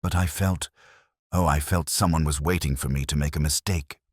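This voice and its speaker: Male reader as frightened lady in a detective audiobook: guarded, urgent confider, low pitch, dry close sound. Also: fairly even intonation, normal speed, no echo. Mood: anxious confession. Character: anxious, fearful married woman confiding a secret.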